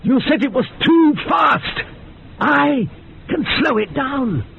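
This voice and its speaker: An actor playing an obsessed, overwrought scientist in a radio horror drama, excitable, urgent and imperious as he commands his subjects. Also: very high-pitched, slow pace, gravelly, narrow, tinny audio, a little hiss or hum, full character voice. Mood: feverish urging.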